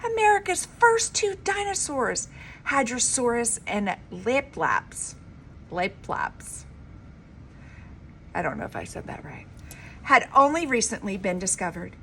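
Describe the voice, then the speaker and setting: A woman reading a children's storybook aloud like a teacher, with an expressive, emphatic delivery. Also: a little high, a little slow. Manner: dramatic, emphatic delivery with informal asides. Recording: clearly noisy homemade recording.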